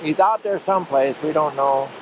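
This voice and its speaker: A man's voice: on the high side, moderate pace, noisy background. A man giving casual, chatty running commentary while filming a home video outdoors on a foggy rocky coast, repeating himself with dry humor. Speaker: home video camera operator. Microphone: built-in camcorder mic. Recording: muffled camcorder audio with loud surf. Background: surf and waves.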